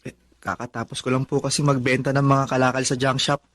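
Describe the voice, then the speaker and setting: A man's voice: medium pitch, level tone, fast talker, fairly bright tone, natural voice. A man playing a poor, long-suffering, devoted son in a Tagalog radio drama, speaking earnestly and respectfully. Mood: humble.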